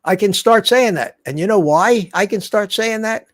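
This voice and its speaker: A man's voice: sits high, moderate pace, dry recording. A man in his seventies hosting a casual livestream from his home studio, rambling and restarting his sentences as he chats. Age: 70s. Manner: chatty, rambling with many restarts. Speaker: livestream host. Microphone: studio desk mic.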